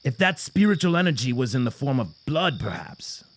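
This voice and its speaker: A man voicing a careful, measured herald who practises blood magic in an audio drama. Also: medium pitch, sing-song, natural voice. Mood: cautious. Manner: cautious and measured. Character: cautious male herald skilled in blood magic.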